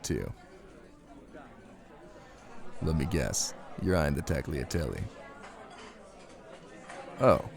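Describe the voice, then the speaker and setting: A man playing a possessive, menacing Italian mafia husband in an intimate ASMR roleplay, speaking in a commanding way with deliberate pauses. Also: low-pitched, gravelly, darkish tone, full character voice. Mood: smooth, teasing.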